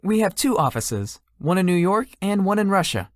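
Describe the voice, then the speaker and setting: A woman's voice: medium pitch, very animated, moderate pace, dry recording, clean studio sound, lightly acted. A woman voicing a shy, polite young male tech executive in a visual novel, slightly formal and hesitant in manner. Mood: polite, curious. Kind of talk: visual novel character dialogue.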